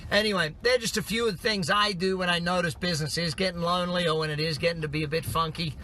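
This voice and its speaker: A man's voice: high voice, fairly even intonation, fast talker, sharp tone. A middle-aged man from Australia, a business coach giving upbeat motivational tips in numbered points while driving. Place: in a car on the road. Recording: car and road noise behind.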